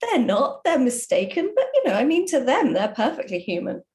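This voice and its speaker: A woman's voice: high-pitched, wildly swooping pitch, a little fast, a little mellow. A woman chatting casually as a podcast guest, talkative and ready with her own opinions. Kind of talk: casual chat.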